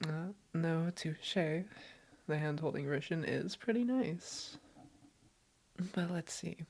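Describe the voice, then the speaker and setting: A woman playing a doting, gently teasing girlfriend in an intimate roleplay audio, affectionate and reassuring. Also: low-pitched, animated, slow pace, heavily acted. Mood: easygoing, caring.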